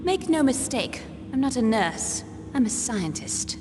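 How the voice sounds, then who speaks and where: An actress playing a proud, no-nonsense military scientist in a video game, precise and clinical. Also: female voice, fairly high pitch, big pitch swings, measured pace, over music. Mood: curt. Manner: precise, clinical, matter-of-fact. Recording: very reverberant hall, loud background noise.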